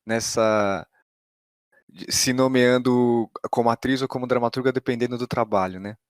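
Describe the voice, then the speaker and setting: A man's voice: mid-range voice, steady tone, moderate pace, no room sound. Casual Brazilian male host, dry close sound. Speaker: podcast host, interviewer. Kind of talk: casual conversational interview.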